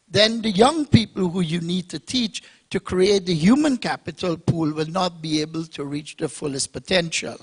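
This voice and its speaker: Guyana-born male panelist, slightly high voice, expansive speechmaker. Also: slightly rough. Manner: expansive, speechmaking, builds to emphatic points. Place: conference hall.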